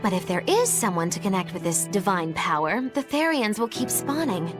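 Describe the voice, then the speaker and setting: A woman's voice: medium pitch, sing-song, moderate pace, close to an everyday voice. A woman playing a formal, precise adventurer reasoning things out in a video game cutscene. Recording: game music behind voice.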